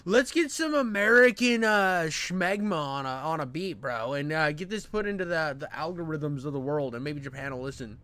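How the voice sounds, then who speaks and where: A man's voice: a little high, moderate pace, hardly any pauses, bright, forceful tone. A man giving casual, profane reaction commentary in a crude, slangy, rambling way. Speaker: reaction video host.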